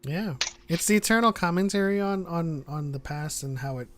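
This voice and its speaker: A man with a fairly high voice hosts a relaxed fan stream. He chats informally with co-hosts and viewers. Faint music plays underneath. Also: normal speed. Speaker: host of a fan livestream. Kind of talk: casual group discussion and reading viewer chat.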